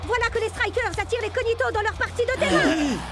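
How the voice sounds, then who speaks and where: A lively cartoon match commentator. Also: female voice, very high-pitched, fast talker, over music. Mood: commentating.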